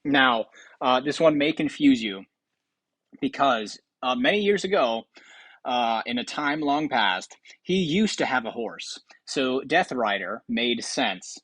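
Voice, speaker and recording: A man's voice: medium pitch, animated, slow pace, fairly bright tone, dry recording. A man hosting casual gaming commentary, rambling and thinking aloud with some hesitation. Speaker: video host.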